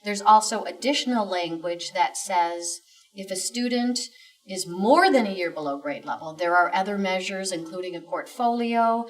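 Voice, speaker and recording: A woman giving measured, formally worded explanations as an expert guest in an interview. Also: medium pitch, recorded from a distance, low-quality recording.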